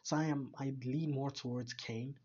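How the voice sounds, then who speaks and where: A man's voice: mid-range voice, steady tone, normal speed, dull audio, very noisy. A man reacting to game voice lines, thinking aloud and often repeating words as he searches for them. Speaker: video reactor. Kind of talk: casual commentary.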